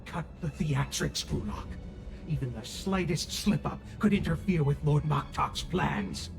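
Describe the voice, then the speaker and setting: A man's voice: a little high, huge pitch swings, a little slow, creaky, music in the background, heavily acted. A man playing a scheming, impatient villain henchman in a video game, contemptuous and commanding. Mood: scornful, curt.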